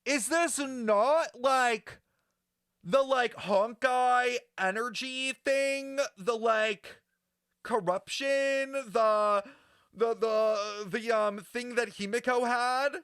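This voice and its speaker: A man giving casual, rambling reaction commentary in a chatty, slangy, excitable way. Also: extremely high pitch, drawn-out words, clean tone, no echo, studio quality. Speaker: reaction streamer.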